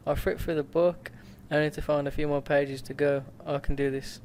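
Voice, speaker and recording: A man's voice: a little high, level tone, normal speed, darkish tone, a little hiss or hum. A man hosting a let's play, reading a visual novel aloud with stumbles, self-corrections and laughs.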